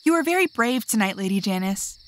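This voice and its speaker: A woman's voice: medium pitch, animated, fairly quick, natural voice. A woman playing a sassy, blunt, grumbling young witch in an audio drama. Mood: admiring. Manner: blunt, teasing.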